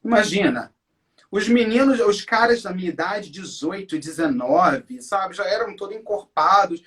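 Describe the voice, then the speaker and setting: A man in his forties with a Brazilian accent talks as a guest in an interview. His delivery is conversational and emphatic, and he often turns to the listeners or checks in with short tag questions. His voice sits at an ordinary brightness. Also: high-pitched, lively intonation, moderate pace. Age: 40s. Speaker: interview guest, English teacher. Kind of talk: conversational interview, personal storytelling.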